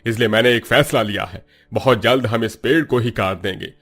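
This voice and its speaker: A man's voice: mid-range voice, a little fast, a bit bright. A man voicing an older village headman in a Hindi cartoon story, firm and paternal, with an emphatic, blunt way of talking. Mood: angry, resolute.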